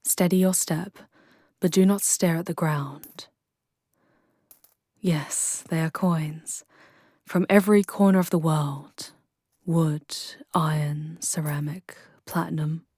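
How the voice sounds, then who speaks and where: A woman playing a charming, protective female demon guide in an intimate ASMR roleplay, with soft breathy delivery and formal, measured diction. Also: low-pitched, steady tone, dry recording, clean studio sound, heavily acted. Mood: hushed, teasing. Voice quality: soft, breathy, often whispery.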